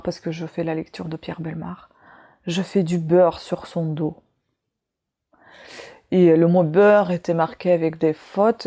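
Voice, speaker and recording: Mellow-voiced woman with a foreign accent. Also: lowish voice. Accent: foreign accent in French. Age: 40s. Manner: chatty, reading book titles aloud with asides. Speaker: vlogger. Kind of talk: casual chat.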